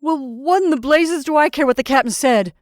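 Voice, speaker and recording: Woman voicing a fussy, blustering, high-pitched man. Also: normal speed, darkish tone, clear, resonant tone, no room sound, clean studio sound, lightly acted. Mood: raging. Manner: blustering, protesting, raging. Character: freckled, fussy, excitable, hot-tempered man. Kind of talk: audiobook character acting.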